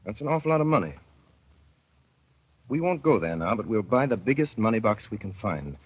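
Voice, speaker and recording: A man narrating a 1930s radio drama as a father, in a wry, mournful storytelling manner that slows to dwell on sad images. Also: lowish voice, sing-song, slightly rough. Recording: dull old radio broadcast.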